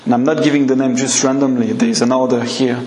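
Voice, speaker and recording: A man's voice: mid-range voice, little pitch movement, fast, a little mellow, slight background noise. French-accented man preaching in an echoey church. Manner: conversational, asks the congregation questions. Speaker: guest preacher. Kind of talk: evening sermon with a live congregation.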